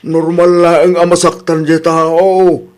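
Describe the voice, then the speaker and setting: A man's voice: fairly high pitch, little pitch movement, slow, a little mellow, smooth. A man acting a patient older father who gives advice in a Filipino radio drama. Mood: gently advising. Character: older father, a calm, advising Filipino grandfather.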